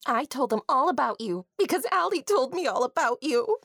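A little fairy-tale princess, with a high, very lively voice. She is petulant and childish, pouting her indignation in a close, dry recording. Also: moderate pace, husky, dry recording, heavily acted. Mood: sassy. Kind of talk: audio fairy-tale drama acting.

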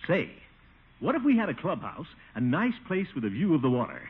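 An actor playing a pompous, blustering, scheming middle-aged American uncle in a 1950s radio comedy, exclaiming and wheedling. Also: male voice, a little high, huge pitch swings, brisk, slightly rough, heavily acted. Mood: scheming, hopeful. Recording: tinny, noisy 1950 radio recording.

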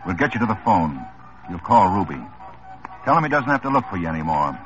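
Brief: low-voiced sarcastic cop, lively delivery, muffled vintage radio. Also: male voice, a little fast. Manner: dry, needling, sarcastic. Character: tough wry male police lieutenant. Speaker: police lieutenant. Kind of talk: 1950s radio drama acting. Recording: dull old radio broadcast with music.

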